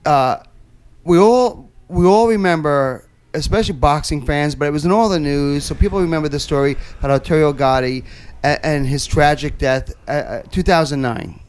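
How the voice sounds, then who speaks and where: A man's voice: on the high side, moderate pace, smooth. A man hosting an interview show, blunt and profane, stumbling over repeated words as he talks. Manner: stammering restarts, blunt and profane. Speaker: talk show host. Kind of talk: casual interview chat. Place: nightclub.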